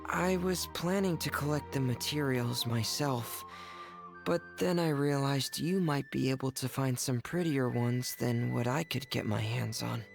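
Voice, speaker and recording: A reserved, earnest teenage boy talks softly and hesitantly in a video game scene. The delivery is unhurried with noticeable vocal fry, and music plays clearly behind. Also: medium pitch. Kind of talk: video game cutscene acting.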